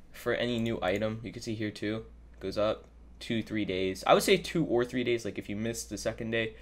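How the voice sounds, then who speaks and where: A man who sounds a bit under the weather, giving a casual, improvised screen-capture tutorial and thinking aloud as he goes. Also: lowish voice. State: sick with a cold. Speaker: YouTuber giving a trading tutorial. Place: indoors at a computer, voice-over.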